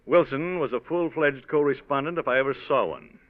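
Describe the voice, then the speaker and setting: A man's voice: medium pitch, brisk, lightly acted. Guy acting stiff condescending divorce case man, 40s radio drama, pointed, thin narrow old recording. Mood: pointed, formal. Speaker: radio actor.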